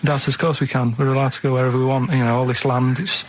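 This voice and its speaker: Male co-host, mid-pitched, plain-spoken and rambling, telling everyday stories in loose conversational fashion. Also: little pitch movement, fast, slight background noise. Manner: rambling, plain-spoken, adds "right?" as tag. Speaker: radio show co-host. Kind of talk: casual anecdote telling.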